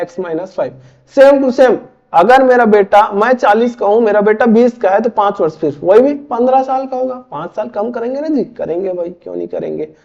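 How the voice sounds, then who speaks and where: A man's voice: high-pitched, creaky, a little mellow, highs cut off. A man in his twenties from Chhattisgarh, India, casually teaching maths step by step, often asking and answering his own questions. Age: 20s. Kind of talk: casual tutorial explaining board exam maths.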